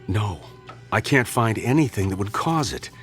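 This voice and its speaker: An actor playing a sceptical, principled doctor in a radio drama, with a dry, reproachful manner. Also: male voice, on the low side, animated, normal speed, soft background music, close to an everyday voice. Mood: grave, explaining. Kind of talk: theatrical radio drama acting.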